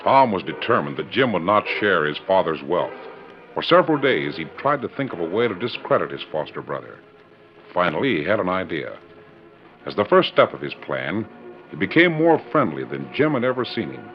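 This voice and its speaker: A man's voice: deep voice, very animated, normal speed. A man narrating a 1940s radio western in a dramatic, scripted storytelling style. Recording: muffled old radio recording. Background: music.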